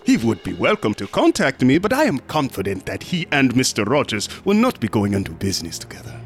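An actor playing a smug, unctuous museum assistant in a horror radio drama, courteous and insinuating, sometimes dropping into whispers. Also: male voice, medium pitch, huge pitch swings, brisk, faint music behind. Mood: sinister, amused. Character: sinister, smug, unctuous museum assistant guarding macabre exhibits.

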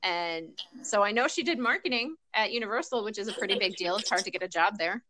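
A woman's voice: mid-range voice, expressive, fast talker, noisy background. A woman co-hosting a casual podcast, chatting and laughing easily. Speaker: podcast co-host. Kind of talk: casual chat.

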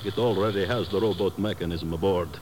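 Man acting a cold, lowish-voiced Soviet agent. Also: fairly even intonation, darkish tone, lightly acted. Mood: smug menace. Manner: measured, formal, ominous. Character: cold, smug male Soviet agent and captor. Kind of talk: 1950s radio drama acting. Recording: slightly noisy 1950s radio recording.

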